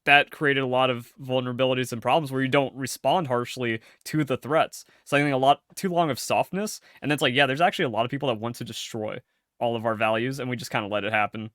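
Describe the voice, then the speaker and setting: Young dude cohosting a podcast, gets all worked up, voice jumps around, dry mic. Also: male voice, quick pace, dry recording. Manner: animated, pitch jumps when fired up. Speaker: podcast co-host. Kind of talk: casual political chat.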